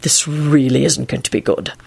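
A woman's voice: mid-range voice, sing-song, normal speed, breathy edge. A woman voicing an irritable, sarcastic, anxious male wizard who snaps and mutters in a story reading. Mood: tense muttering. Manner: snappish, sarcastic, hissing mutter.

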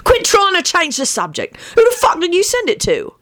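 A woman's voice: very high-pitched, huge pitch swings, normal speed, bright, forceful tone, pitched above the performer's own voice, full character voice. A woman voicing a haughty, suspicious young male wizard in a story, impatient and insistent.

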